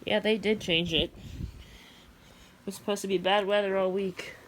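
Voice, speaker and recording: Flat-toned woman rambling casually, faint noise. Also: mid-range voice, normal speed. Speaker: amateur weather radio vlogger. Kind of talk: casual rambling phone-video commentary. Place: room at home at night. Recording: faint background noise, handheld home recording.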